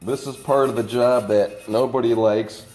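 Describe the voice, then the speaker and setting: A man's voice: mid-range voice, steady tone, a little mellow, faint music behind, low-quality recording. A man working as a mechanic, explaining a repair step by step while congested from a slight cold. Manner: plain, unhurried how-to explaining. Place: auto repair shop.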